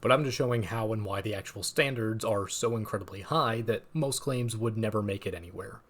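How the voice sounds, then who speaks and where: Lower-voiced man narrating a video essay. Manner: measured, explanatory, dry asides.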